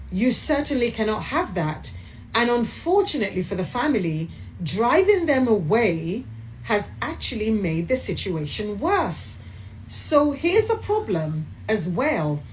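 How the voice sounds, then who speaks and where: A woman's voice: mid-range voice, a little hiss or hum, poor audio. A woman delivering an emphatic opinion monologue with rhetorical questions. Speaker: commentator in a played clip.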